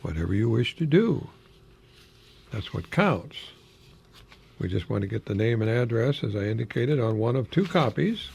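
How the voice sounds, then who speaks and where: A man's voice: on the low side, very animated, normal speed, creaky, pauses often, darkish tone, slightly rough. An older American man giving an informal radio monologue in a rambling, hesitant manner with chuckling asides. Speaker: radio host, college professor.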